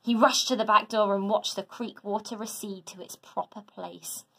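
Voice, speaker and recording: A woman teacher narrating a children's story aloud in a dramatic, deliberate, expressive way. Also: mid-range voice, creaky, husky. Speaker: teacher reading to a class.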